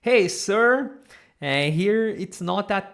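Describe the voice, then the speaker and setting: A woman's voice: mid-range voice, lively intonation, slow pace. A Brazilian woman with a Brazilian accent teaching Portuguese in a live online lesson, patiently repeating sounds for students to copy. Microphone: camera mic.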